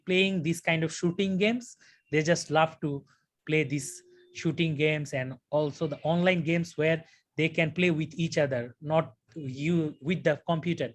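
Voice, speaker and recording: A young man with a Bangladeshi accent, answering a mock speaking exam with many fillers and restarts. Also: fairly high pitch. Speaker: test candidate.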